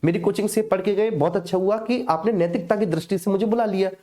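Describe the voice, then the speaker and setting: This is a man with a North Indian accent and a soft-edged, mellow voice. He lectures like a coaching teacher on a live class, in everyday colloquial speech. His delivery is didactic, punctuated by small check-in tags to his students. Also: sits high, quick pace. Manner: colloquial, didactic, checks in with repeated tag phrases. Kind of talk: live online exam lecture with personal anecdotes.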